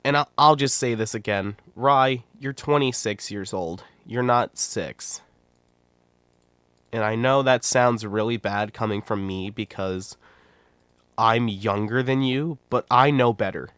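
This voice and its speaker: A man's voice: medium pitch, a little slow, fairly bright tone, smooth, no room sound. A man in his twenties with a nasal, stuffy voice from a cold, talking bluntly and rambling in casual livestream commentary. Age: 20s. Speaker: YouTuber and streamer.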